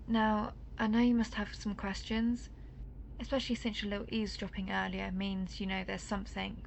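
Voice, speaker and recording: Audio roleplay: actress as female vampire, centuries old, dry-witted protector on the run, crackly fry in the voice, noisy rumbling track. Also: lightly acted. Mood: concerned, serious. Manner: dry, wry levity amid grim urgency. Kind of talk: roleplay audio drama, speaking to the listener. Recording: noticeable low rumbling background noise.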